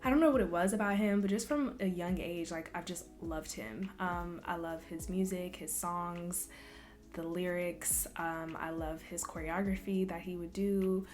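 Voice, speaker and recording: A young woman from Louisville, Kentucky, chatting casually in a Q&A vlog, soft-spoken and relaxed with frequent ums. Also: on the low side, measured pace. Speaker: vlogger. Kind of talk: casual Q&A chat.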